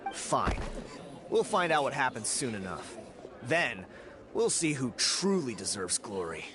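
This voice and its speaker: A man's voice: medium pitch, sing-song, unhurried, a crowd in the background. An actor playing a smug, scornful young man in a video game, with a sneering, insinuating manner. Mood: bitter challenge. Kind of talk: English video game voice acting.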